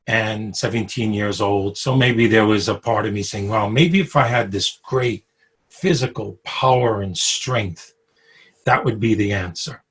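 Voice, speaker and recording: A man in his sixties from Brooklyn with a New York accent, telling his life story over a video call, with pauses, fillers and frequent soft chuckles. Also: on the low side, breathy edge. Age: 60s. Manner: unhurried, chuckles often, many pauses and fillers. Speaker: interviewee. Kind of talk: reflective personal storytelling.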